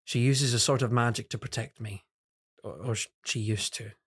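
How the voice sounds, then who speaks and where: A young man in an audio drama, earnest yet guarded. His voice is medium in pitch. He speaks with polite deference and a hesitant edge. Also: fairly even intonation, normal speed, fairly bright tone, breathy edge. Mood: relieved, confiding. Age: young adult.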